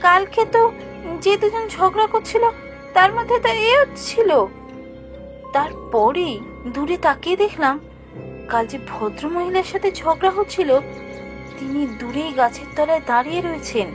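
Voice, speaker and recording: High, animated child storyteller. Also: female voice, moderate pace, pauses often, music in the background. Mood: uneasy. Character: curious, stubborn child narrating a spooky adventure with an uncle. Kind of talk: dramatic scripted ghost-story narration for animation.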